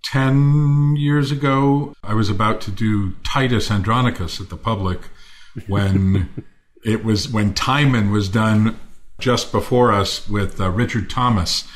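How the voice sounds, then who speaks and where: A man's voice: fairly low pitch, unhurried. An older man, a stage actor, talking reflectively and effusively in a panel discussion, articulate as he thinks aloud.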